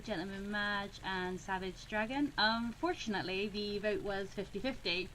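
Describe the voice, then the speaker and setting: A woman's voice: mid-range voice, fairly bright tone, a little hiss or hum, low-quality recording. A woman hosting a gaming playthrough with casual, chatty and apologetic commentary. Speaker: gaming video host.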